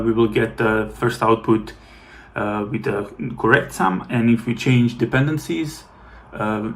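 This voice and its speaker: Male speaker, halting technical explanation with frequent fillers. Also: mid-range voice, gravelly, fairly bright tone. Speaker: conference speaker. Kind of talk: technical talk.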